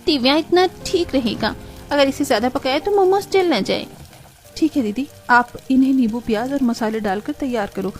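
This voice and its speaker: A woman playing a gentle, caring young woman of a poor family in an earnest cartoon style. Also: high-pitched, lively intonation, moderate pace. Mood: busy, helpful. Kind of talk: cartoon dialogue acting. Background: background music.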